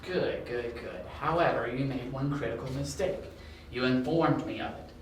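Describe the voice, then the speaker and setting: A man's voice: medium pitch, normal speed, lightly acted. A man playing a smug, taunting criminal mastermind with menacing politeness in an amateur audio drama. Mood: coolly menacing. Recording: very echoey, distant, with faint hiss.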